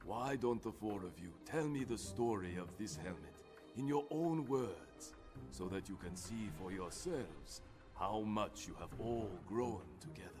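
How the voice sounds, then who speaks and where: An elderly rat sensei in a video game. His voice is low and his manner formal, patient and gently admonishing, with music clearly behind. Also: lively intonation, a little slow. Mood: patient, inquiring. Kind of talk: video game cutscene voice acting.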